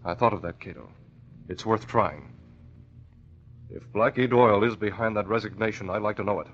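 An actor playing a shrewd, resolute masked vigilante in 1940s radio style, terse and commanding. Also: male voice, fairly low pitch, normal speed, a bit bright, a little hiss or hum, lightly acted. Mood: pondering, resolute. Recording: muffled old radio recording.

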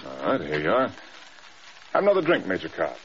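A strict american general, clipped and commanding, old radio drama, dull sound with some hiss. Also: male voice, deep voice, wildly swooping pitch, brisk. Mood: stern, cool. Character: stern, disciplinarian male air force general. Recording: dull old radio recording, clear noise.

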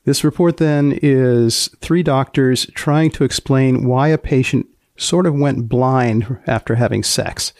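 Male interviewer, mid-pitched with plenty of creak, dry close sound, deadpan and deliberate delivery. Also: dry recording. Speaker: podcast host, interviewer. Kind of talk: deadpan interview with short questions.

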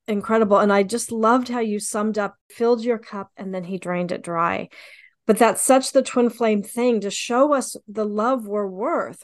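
A woman hosting a podcast interview, affirming and encouraging her guest. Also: mid-range voice. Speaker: podcast host. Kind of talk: interview conversation.

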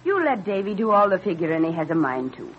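A woman's voice: lowish voice, very animated, quick pace. A woman playing a doting, teasing farm mother in an old-time radio drama. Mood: firm, coaxing. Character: doting, teasing farm mother who stands her ground. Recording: dull, noisy vintage radio recording.

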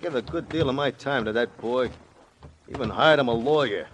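A mid-pitched American tough guy played by a man, crooked and sardonic, talks in slangy, insinuating jabs. He sounds like a villain in an old radio crime drama, over faint noise. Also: normal speed, natural voice. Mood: scornful denial. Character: sneering, crooked male tough guy, a cornered killer. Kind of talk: 1940s-50s radio crime drama acting. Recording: noisy vintage radio recording.

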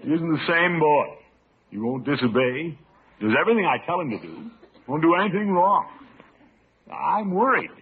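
A man's voice: on the high side, very animated, moderate pace, pauses often, breathy edge, full character voice. A man playing a blustery, fretful, pompous middle-aged uncle in an old radio comedy, with theatrical exclamations. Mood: worried, fretful. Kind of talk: 1940s-50s radio comedy acting. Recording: tinny, noisy old radio broadcast.

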